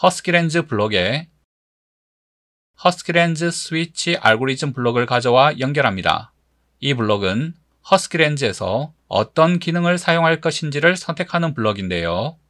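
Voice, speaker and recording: A man's voice: medium pitch, lively intonation, fairly bright tone, dry recording. Man narrating a tutorial, dry close sound. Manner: measured step-by-step explaining. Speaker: instructor.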